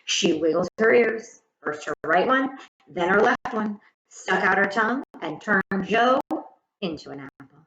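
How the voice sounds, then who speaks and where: Female storyteller for children's read-aloud: dramatic, highly varied pitch, careful phrasing, roomy and slightly dull recording. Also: expressive, a little slow, fairly bright tone, a little hiss or hum. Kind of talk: expressive scripted children's story narration. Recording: echoey room, slightly muffled sound.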